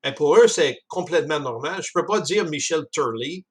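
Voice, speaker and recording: A man with a Canadian French accent talking casually about a book, halting often with restarts and chuckles. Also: a little high, expressive, breathy edge. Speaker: speaker discussing a book. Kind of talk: casual spoken commentary.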